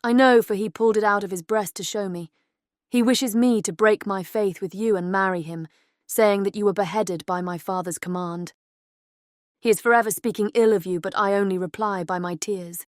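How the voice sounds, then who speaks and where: A woman voices a young fairy-tale princess, devoted and courtly. Her mid-pitched voice carries formal, antique phrasing suited to an audiobook drama. The recording is close and dry. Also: steady tone, moderate pace, no echo, close to an everyday voice. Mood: sorrowful. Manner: formal, archaic storybook phrasing. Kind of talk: dramatized audiobook character acting.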